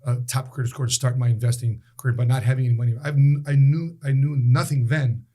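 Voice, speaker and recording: A man in his late forties, a guest in a casual interview, telling stories with animated emphasis and repeated phrases. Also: medium pitch, fast talker. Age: 40s.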